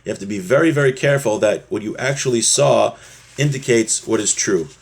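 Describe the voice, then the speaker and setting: A man with a husky voice giving an informal religious lesson, explaining a text step by step and often rephrasing his points. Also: on the low side, fairly quick. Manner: repeats and rephrases, checks in with "right?". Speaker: religious teacher giving a class.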